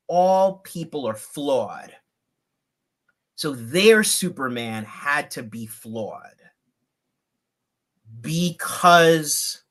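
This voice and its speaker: A man in his fifties talking on a video call, building his points in a measured, deliberate way. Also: on the high side, fairly bright tone. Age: 50s. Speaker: guest. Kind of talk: reflective livecast conversation.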